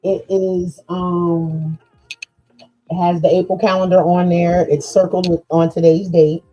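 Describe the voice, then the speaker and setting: Woman, podcast host, very slow casual chat, mellow low voice. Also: flat delivery, highs cut off, soft background music. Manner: slow, drawn-out, chatty with many fillers.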